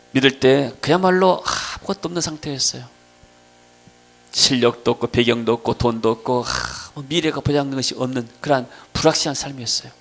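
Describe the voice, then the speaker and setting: A middle-aged man with a Jeolla accent from Gwangju preaches a sermon with personal testimony, swinging between emphatic declarations and quieter storytelling. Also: medium pitch, creaky. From Gwangju region, South Korea. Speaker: pastor preaching.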